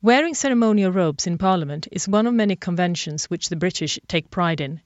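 A woman presenting a radio feature in a measured, reflective scripted narration. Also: fairly low pitch, animated, dry recording. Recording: dry, slightly dull studio sound.

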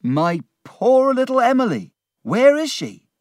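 A man's voice: mid-range voice, very animated, takes it slowly, husky, dry recording, clean studio sound, exaggerated voice. A man voicing an anxious, devoted family man in an audiobook, impassioned and exclaiming. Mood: fervent hope. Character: anxious, devoted uncle-like man searching for lost Emily. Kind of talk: audiobook character acting.

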